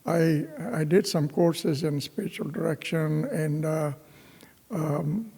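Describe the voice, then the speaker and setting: A male priest from Pakistan tells his story from the pulpit at an unhurried, halting pace, full of fillers and restarts. His voice sits a little high and is rough and gravelly. It rings out with long reverb across a church. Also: a little mellow. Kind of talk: homily with personal storytelling. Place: parish church.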